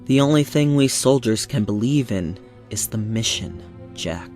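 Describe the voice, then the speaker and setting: A man playing a stern, cryptic veteran soldier and mentor, lecturing in a measured, solemn way for a parody. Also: medium pitch, expressive, a little mellow, faint music behind, natural voice. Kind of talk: parody dub acting.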